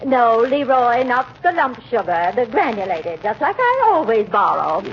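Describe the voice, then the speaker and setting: An actress playing a coy, nosy spinster in a 1940s radio comedy, with a gushing, fluttery manner. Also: female voice, a little high, sing-song, unhurried. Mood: flirtatious, flustered. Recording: muffled, noisy old radio recording.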